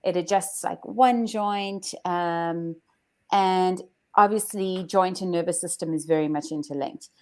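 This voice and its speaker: Guest expert: South African woman, lowish voice, explains things plainly and matter-of-factly. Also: unhurried. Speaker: guest chiropractor. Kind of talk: interview conversation.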